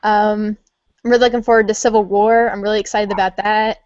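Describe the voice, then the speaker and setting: A woman's voice: medium pitch, little pitch movement, fairly quick, a little mellow, dry recording, dull audio. Eager teenage girl, dry call audio. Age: late teens. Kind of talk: casual chat.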